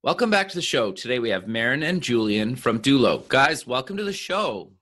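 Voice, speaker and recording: A man's voice: medium pitch, big pitch swings, brisk, a bit bright. A man hosting a podcast interview, reading show announcements and welcoming guests in an upbeat, encouraging way. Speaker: host. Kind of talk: podcast interview with sponsor reads.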